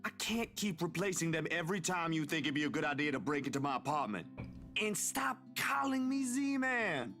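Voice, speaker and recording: A man's voice: fairly high pitch, big pitch swings, moderate pace, a bit bright, soft background music. An actor playing an exasperated young man in a visual novel, scolding with nagging banter.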